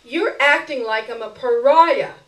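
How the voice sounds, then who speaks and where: A woman's voice: high voice, unhurried, voice pushed up. A woman reading a story aloud, voicing a pushy, lovesick man with a wheedling, melodramatic manner. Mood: cajoling, indignant. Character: pushy, lovesick male neighbour, clumsy suitor. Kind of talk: acted short-story reading before an audience. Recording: roomy echo, recorded far from microphone.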